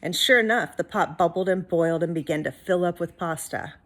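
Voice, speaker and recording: Woman reading a children's storybook aloud. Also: lowish voice. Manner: expressive, pausing to ask listeners questions.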